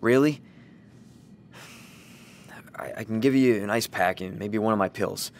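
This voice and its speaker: A man playing a weary, sardonic single father, gruff but caring, who swears when defensive. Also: fairly low pitch, measured pace, slight background noise.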